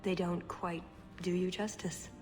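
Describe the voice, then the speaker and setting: A woman voicing a poised, self-assured female spy in a video game, speaking in a measured, wry, philosophical way. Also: lowish voice, flat delivery, over music, lightly acted. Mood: frank.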